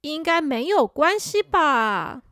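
A woman voicing an excitable little boy in a children's story, eager and a bit whiny. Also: high-pitched, takes it slowly, no echo, studio quality, full character voice. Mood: pleading.

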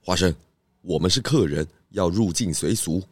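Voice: sits low, moderate pace, no room sound, studio quality. An actor voicing a genial, curious male pig detective in a children's audio detective drama, with soothing, chuckling little interjections. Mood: easygoing, polite.

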